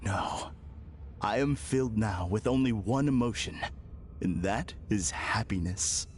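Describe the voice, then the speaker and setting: An actor playing an unsettlingly calm, formal villain in a game cutscene, speaking in a measured, courteous way. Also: male voice, lowish voice, a little hiss or hum, natural voice. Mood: serenely polite. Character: eerily serene polite man, unsettling villain. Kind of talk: video game cutscene dub.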